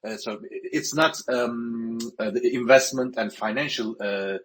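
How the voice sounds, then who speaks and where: A man from Turkey, moderating an online panel with halting speech full of filler sounds. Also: mid-range voice, steady tone, fairly bright tone, poor audio. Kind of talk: online audio panel chat.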